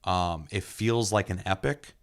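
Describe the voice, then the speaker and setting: A young man casually reviewing a movie in a relaxed, enthusiastic way, with lots of fillers and the odd curse. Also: low-pitched, measured pace. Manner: loose and enthusiastic, many fillers, occasional swearing. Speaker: movie reviewer, podcast host. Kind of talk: casual film review chat.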